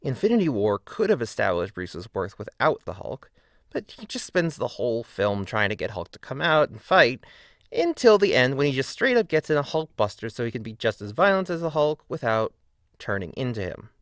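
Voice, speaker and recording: Lively male video essayist, dry sound. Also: mid-range voice, moderate pace, no room sound. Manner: critical, argumentative, emphatic. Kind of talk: scripted video essay narration.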